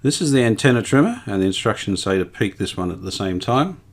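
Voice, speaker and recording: A man's voice: lowish voice, sing-song, fast talker. A man giving a casual hands-on repair demonstration, unscripted and thinking aloud as he works. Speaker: host of radio repair video.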